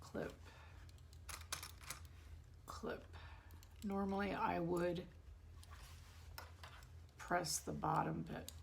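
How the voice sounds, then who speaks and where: A woman with a hoarse voice, chatting casually to viewers as she demonstrates sewing steps in her home studio, often correcting herself. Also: lowish voice, fairly even intonation, very slow, pauses often, slight background noise. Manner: chatty, talks to viewers while working, self-correcting. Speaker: bag-sewing tutorial host.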